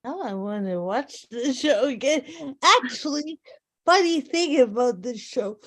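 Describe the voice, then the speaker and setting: A woman's voice: on the high side, lively intonation, unhurried. A woman taking part in a table read, speaking in casual chat.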